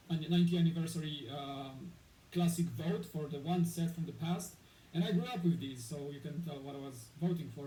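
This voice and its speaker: A man's voice: a little high, warm tone. A man in his thirties from Poland, a guest in a casual interview, rambling with many fillers and restarts. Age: 30s. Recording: echoey room with faint noise.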